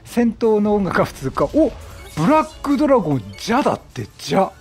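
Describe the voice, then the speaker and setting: A middle-aged man narrates his own gameplay in a high voice that swings widely in pitch. He is chatty and reactive, often repeating phrases, with game music faintly behind him. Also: very animated, fairly quick. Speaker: game streamer. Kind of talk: excitable Let's Play commentary.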